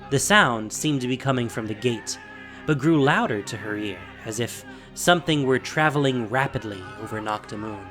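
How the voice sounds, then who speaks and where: A man's voice: medium pitch, lively intonation, normal speed, a bit bright, soft background music. A man reading scripted dramatic fantasy narration as the storyteller of an audio drama.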